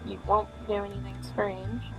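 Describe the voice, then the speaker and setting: A woman's voice: mid-range voice, monotone, unhurried, gravelly, darkish tone, slight background noise, poor audio. A woman voicing the confused, distrustful and sarcastic human protagonist of a visual novel.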